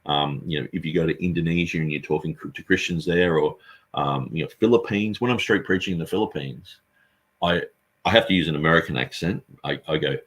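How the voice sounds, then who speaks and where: A man's voice: extremely low pitch, brisk, husky. A man, a street preacher and Bible translator, answering an interview question in an earnest, rambling way with frequent restarts.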